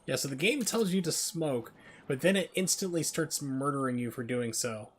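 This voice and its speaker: A man's voice: a little high, lively intonation, moderate pace, slight background noise. Casual man streaming a video game. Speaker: livestreamer. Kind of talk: casual gaming stream commentary.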